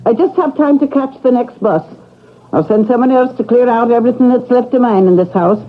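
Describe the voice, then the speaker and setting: An actress playing a devoted, emotional long-serving Irish housekeeper in a radio drama, with an effusive, pleading manner. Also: female voice, a little high, brisk, narrow, tinny audio, lightly acted. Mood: bitter, resolute. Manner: pleading, effusive, endearing address. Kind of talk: old-time radio drama acting. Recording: thin, noisy old radio broadcast.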